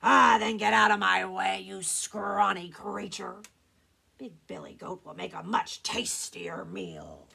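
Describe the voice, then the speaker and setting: A woman doing a roaring, gravelly storybook troll. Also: mid-range voice, animated, full character voice. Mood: gruff. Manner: loud, slow, roaring growl. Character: greedy, selfish male bridge troll.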